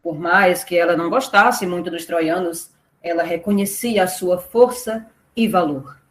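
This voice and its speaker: A woman's voice: fairly low pitch, unhurried. A woman narrating a comedic audio drama as scripted storytelling, theatrical and playful with gossipy asides. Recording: roomy echo. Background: music.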